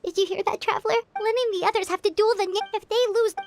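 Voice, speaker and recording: A woman voicing a tiny, childlike fairy companion in a video game, chatty and excitable, with a squeaky voice. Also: quick pace, vocal fry, a little hiss or hum. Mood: amazed, uneasy.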